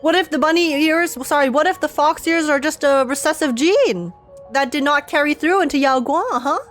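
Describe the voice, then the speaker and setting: A woman's voice: high voice, normal speed, a bit bright, soft background music. A young woman streaming a game, joking sarcastically and laughing often. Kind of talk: casual reaction commentary.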